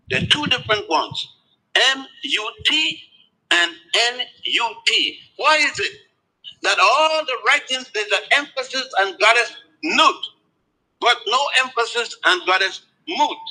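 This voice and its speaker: A man giving a spoken lecture in a deliberate, emphatic way, letting his pitch fall at the ends of phrases. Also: animated, pushed and bright, rough recording.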